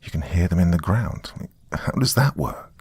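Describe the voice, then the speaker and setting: A man's voice: deep voice, lively intonation, normal speed, a little mellow, slightly rough, dry recording, full character voice. A man voicing a weary, sardonic small-town man, rambling wryly through a taped confession in a horror story. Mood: uneasy, resigned. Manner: rambling, wry, colloquial. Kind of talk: dramatic monologue as a taped confession.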